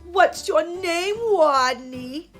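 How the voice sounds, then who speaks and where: Woman putting on a storybook rodent kid, high-pitched, loud, knowing, mocking and teasing. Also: expressive, extremely slow, soft background music, exaggerated voice. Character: taunting young rodent classmates.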